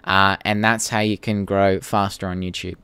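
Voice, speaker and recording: A man's voice: deep voice, flat delivery, normal speed, bright, forceful tone, clear, resonant tone, no room sound. A young Australian man hosting a casual live Q&A, chatting to viewers and reading their comments in a blunt, informal, sweary way. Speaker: YouTube creator hosting a live Q&A.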